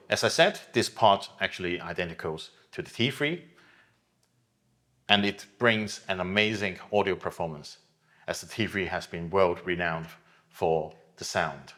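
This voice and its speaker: A middle-aged man with a fairly low voice presents a product for his company. He speaks in a measured, explanatory way, as a representative walking viewers through a device. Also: a bit bright. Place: hi-fi showroom.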